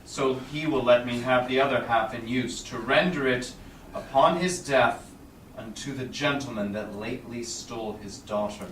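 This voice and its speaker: A man's voice: medium pitch, normal speed, lightly acted. A man playing a solemn, magnanimous merchant in a Shakespearean stage reading. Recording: distant echoey small theatre, background noise.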